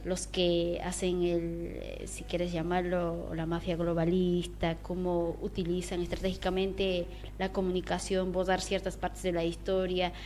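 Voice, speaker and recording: A woman's voice: lowish voice, measured pace, hardly any pauses, slight background noise. Opinionated woman talking radio. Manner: animated, opinionated, searching for words. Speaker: radio host. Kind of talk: casual radio discussion.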